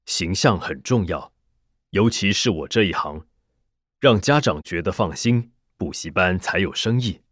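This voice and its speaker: Audiobook role: man acting a smug, evasive male teacher, low and quiet, dry sound. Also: lively intonation, measured pace, dry recording, exaggerated voice. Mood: earnest. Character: smooth, self-assured cram-school teacher, male, evasive. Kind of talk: audiobook character dialogue.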